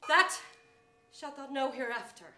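High-voiced proud noblewoman, far off. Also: unhurried, pushed and bright. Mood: guarded, softening. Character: proud, wary mourning noblewoman being wooed. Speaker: stage actor. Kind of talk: theatrical Shakespearean stage reading. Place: theatre stage, far camera. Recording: distant, echoey stage recording with noise.

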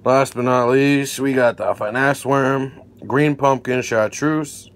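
A man's voice: medium pitch, fairly even intonation, takes it slowly. A man casually narrating an unboxing vlog as the creator, indoors at a table. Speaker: fishing video creator. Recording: noticeable background noise.

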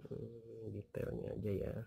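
Man, slightly low voice, casual, rambling product walkthrough into a handheld phone. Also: measured pace, gravelly, slightly rough. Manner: relaxed, chatty, many fillers and tag questions. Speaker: fishing gear reviewer. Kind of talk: casual unboxing review. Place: indoors on a tiled floor.

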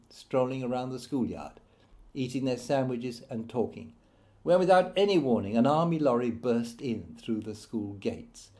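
A man narrating his own written memoir as an audiobook, in a measured storytelling manner. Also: mid-range voice, warm tone.

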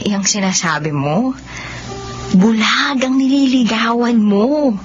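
A high, slow voice of a tender young woman in love, full of self-doubt. She is hesitant and emotional. The audio is muffled, with music clearly playing underneath. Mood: hesitant, serious. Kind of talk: Filipino radio romance drama acting.

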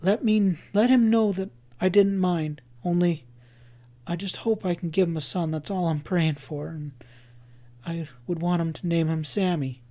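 A man softly voicing a weak, feverish young woman. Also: on the high side, level tone, normal speed, lightly acted. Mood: fervent, pleading. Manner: soft, pleading, breathy and faint.

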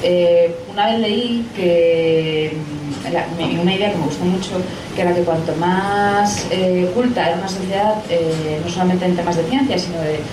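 A woman's voice: fairly low pitch, normal speed, recorded from a distance. A woman with a Spain Spanish accent making a comment and putting a question from the audience at a live talk. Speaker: audience questioner, science journalist. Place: pub event room, indoors. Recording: echoey room from afar, clear background noise.

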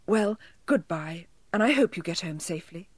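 A woman's voice: on the low side, lively intonation, moderate pace, dry recording. A woman with a British accent voicing a kind, earnest, polite little girl in a children's storybook. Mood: hopeful.

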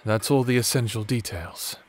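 A man's voice: mid-range voice, moderate pace, close to an everyday voice. A man playing a doting, villainous necromancer husband in a romantic ASMR audio drama, speaking in a theatrical, formal and tender manner. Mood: confiding, scheming.

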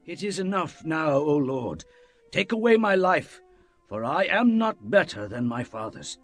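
A man's voice: a little high, very animated, unhurried, full character voice. An actor playing an impassioned, earnest man of ancient Israel in a dramatized Bible, with fervent declamation. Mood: despairing. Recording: music bed under the voice.

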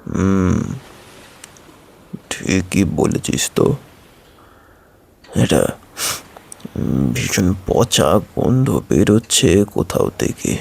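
An older fishing-crew chief acted by a male narrator speaks in a low, heavy murmur full of foreboding. His words come very slowly and uneasily, as in an audio horror story. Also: big pitch swings, gravelly, a little mellow, slightly rough, exaggerated voice. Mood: wary puzzlement. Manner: slow, brooding, murmuring with foreboding.

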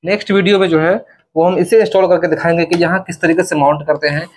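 A man's voice: fairly high pitch, steady tone, fast talker, slight background noise. A young man, explaining step by step to camera in a casual vlog style. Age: young adult. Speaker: vlogger. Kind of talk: casual product installation demo to camera. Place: indoors in a small bedroom.

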